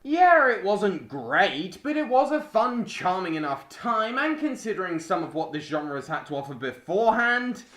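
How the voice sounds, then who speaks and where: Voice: medium pitch, sing-song, moderate pace, few pauses, fairly bright tone. A young adult reading a scripted video essay as a film reviewer, with music behind. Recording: roomy voice-over with music behind.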